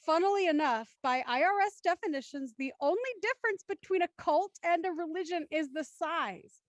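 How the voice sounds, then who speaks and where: A woman with a distinctly high voice leads a group discussion as its host. She phrases things reflectively, feeling her way toward each question. The sound is very dry, with no room reflections. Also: normal speed, dry recording. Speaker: host moderating a post-show discussion. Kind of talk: conversational hosting and discussion.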